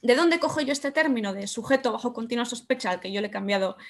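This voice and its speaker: A young woman with a fairly high voice lectures over a webcam from her room at home. She keeps it conversational and keeps throwing rhetorical questions at her listeners. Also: animated, quick pace. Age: young adult. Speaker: speaker giving a talk. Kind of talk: online conference talk.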